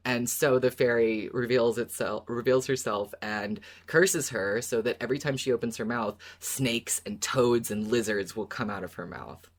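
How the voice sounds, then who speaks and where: A woman's voice: very deep voice, moderate pace, few pauses. A woman co-hosting a podcast, retelling stories casually in a conversational, giggly way. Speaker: podcast co-host.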